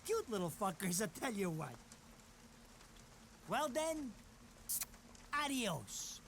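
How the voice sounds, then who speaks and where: A man's voice: sits high, sing-song, slow pace, a bit bright, a little hiss or hum, heavily acted. An actor playing a squat, nervous, foul-mouthed wiseguy henchman in a video game, wisecracking and whiny. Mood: cocky, griping. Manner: wisecracking, whiny, profane, slangy. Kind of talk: animated video game cutscene acting.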